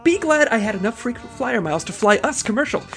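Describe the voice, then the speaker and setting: A wide-eyed, excitable agent in an audio comedy. Her voice swoops about in a gushing, chirpy way, with music behind it. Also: on the high side, fast.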